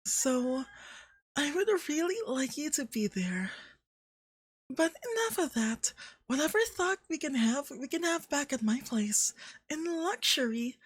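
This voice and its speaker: Casting: a woman as a vain, flirty androgynous deity for ASMR roleplay, high and very animated, smugly possessive. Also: no echo. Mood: doting, coaxing. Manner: unhurried, smug, theatrical, doting.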